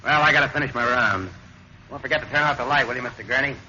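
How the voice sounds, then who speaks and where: An American actor playing a plain, offhand night security guard in a 1950s radio drama. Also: male voice, mid-range voice, quick pace, bright, forceful tone. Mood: casual, mildly curious. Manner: folksy, offhand. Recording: muffled, noisy vintage radio recording.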